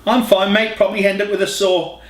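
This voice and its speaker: A man's voice: high voice, little pitch movement, brisk, recorded from a distance, voice pushed up, natural voice. A man voicing an irritable, cheeky bloke in an audiobook, blunt and slangy. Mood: muttering, miffed. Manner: slangy, blunt, muttering and exclaiming. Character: irritable, cheeky working bloke, easily annoyed. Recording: roomy, echoey home recording.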